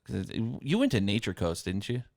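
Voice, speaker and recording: A man with a lowish voice hosts a podcast with crude, teasing humor and frequent laughter. His talk is loose and joking. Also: very animated, brisk, a little mellow. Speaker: podcast host. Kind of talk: casual podcast banter.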